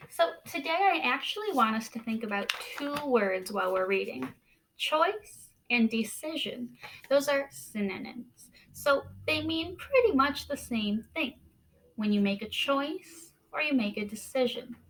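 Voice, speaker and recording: High-voiced woman reading slowly to children. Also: poor audio. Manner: deliberate, expressive, emphasizes key words. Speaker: teacher reading aloud to children. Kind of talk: picture-book read-aloud lesson for children.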